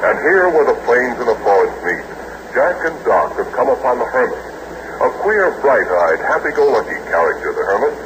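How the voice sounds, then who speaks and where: A man's voice: mid-range voice, sing-song. Man narrating old-time radio. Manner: measured, descriptive announcing. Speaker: announcer and narrator. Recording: noisy old radio broadcast.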